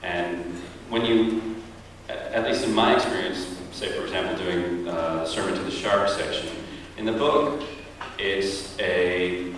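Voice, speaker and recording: A very deep-voiced man from New York, talking reflectively with many ums and pauses. He is far from the mic in a large hall with a long, ringing reverb. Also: fairly bright tone, a little hiss or hum. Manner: reflective, searching for words with many fillers. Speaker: stage performer on a panel. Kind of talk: post-show Q&A panel talk. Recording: echoey hall, picked up from far off.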